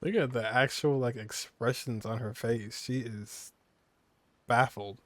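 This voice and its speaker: A man's voice: mid-range voice, fairly even intonation, unhurried, no room sound. A man giving casual commentary while streaming a game playthrough. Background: game music.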